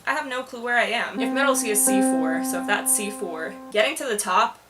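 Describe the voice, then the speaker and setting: A woman's voice: mid-range voice, a little fast, loud music behind the voice. Hesitant young woman, distant-mic vlog. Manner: hesitant, self-deprecating, informal. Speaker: vlogger. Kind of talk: casual confessional talk to camera.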